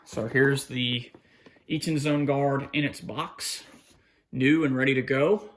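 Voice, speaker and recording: A man giving a casual, unscripted unboxing narration in a halting, informal way, thinking aloud as he goes. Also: medium pitch, steady tone. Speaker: hobbyist collector presenting an unboxing. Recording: faint background noise, clicks of handling.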